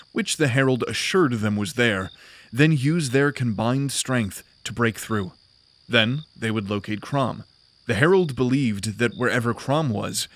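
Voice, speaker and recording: A man delivers dramatic fantasy narration in a medium-pitched voice. His storytelling is deliberate and grave. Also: a bit bright.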